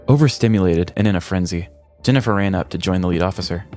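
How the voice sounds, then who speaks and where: A man's voice: deep voice, quick pace, darkish tone, soft background music. A man hosting a podcast, reading a scripted, tongue-in-cheek true-crime story in a storyteller's manner. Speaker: podcast host. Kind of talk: scripted dramatic true-crime narration, comedic.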